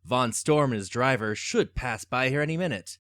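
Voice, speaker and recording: A man's voice: mid-range voice, normal speed, a bit bright, no room sound, studio quality, close to an everyday voice. A man playing a brave, dutiful American army captain with an earnest, heroic manner in a radio serial style. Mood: confident.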